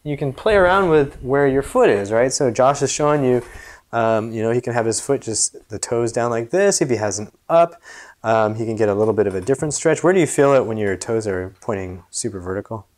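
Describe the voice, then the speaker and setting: Man, American accent, thirties, medium pitch, informal coach explaining exercises, small indoor gym. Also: lively intonation, brisk, a little mellow. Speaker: movement coach and video host. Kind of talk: casual exercise demonstration.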